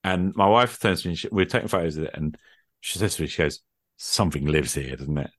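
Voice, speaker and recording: Low-voiced man, musing in casual conversation. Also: expressive, fast talker, no echo. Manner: musing, hesitant phrasing with rhetorical questions. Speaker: podcast speaker, tabletop gamer.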